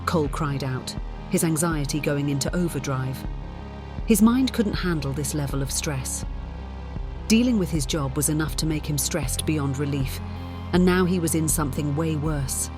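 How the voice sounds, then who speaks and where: A narrator reading scripted fiction for an audiobook. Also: medium pitch.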